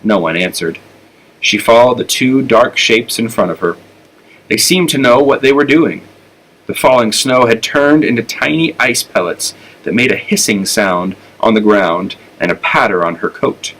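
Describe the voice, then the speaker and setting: A man reading a children's story aloud with expressive narration. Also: mid-range voice, moderate pace, low-quality recording. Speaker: elementary school storyteller.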